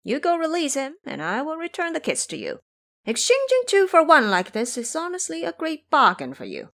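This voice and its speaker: A woman acts out a cocky monkey hero for an audio drama. He is high-pitched and animated. He bosses everyone with brash confidence and commands. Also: moderate pace, gravelly, heavily acted. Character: bossy, brash male Monkey King hero, cocky schemer.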